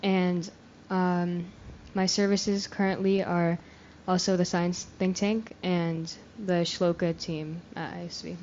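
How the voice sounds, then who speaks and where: A woman's voice: lowish voice, flat delivery, slow pace, hardly any pauses. A young woman introducing herself at a youth retreat in a casual, shy and modest way. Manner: shy, modest, laughs softly. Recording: dull lo-fi recording with faint noise.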